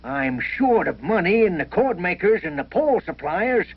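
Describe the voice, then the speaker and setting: A worried cartoon villager who makes nets, pleading his case. The voice is high and husky, with very lively swings in pitch. It comes through muffled, like an old film soundtrack. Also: moderate pace, exaggerated voice. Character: worried pleading male net-maker, a small-business villager in cartoon.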